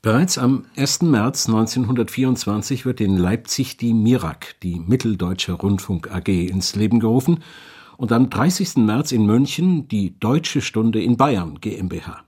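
A man's voice: lowish voice, lively intonation, moderate pace, darkish tone, breathy edge. A man reading scripted narration for a radio documentary as its narrator.

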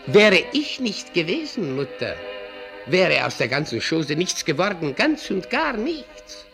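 Radio drama: homely, fussy old doorman, lightish voice, unhurried, muttering with proud self-importance. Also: high voice, big pitch swings, vocal fry, a bit bright, soft background music, exaggerated voice. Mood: proud, musing. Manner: muttering, rambling, self-satisfied. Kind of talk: German radio drama acting.

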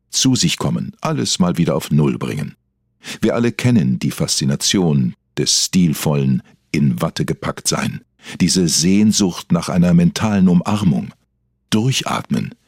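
A man playing a solemn documentary narrator, delivering scripted lines in a weighty, dramatic way with a husky voice. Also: low voice, sing-song, unhurried, no echo, clean studio sound, heavily acted. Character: male documentary narrator, solemn, mock-investigative.

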